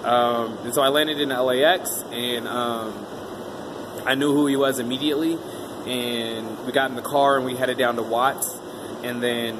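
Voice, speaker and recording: A man tells his own story in a loose, rambling monologue, often stopping for an um. His pitch sits in a middle range. A clear air-conditioner hum runs under his voice throughout. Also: steady tone, a little slow. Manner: rambling, many um fillers. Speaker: vlogger interviewing himself. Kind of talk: casual self-interview monologue.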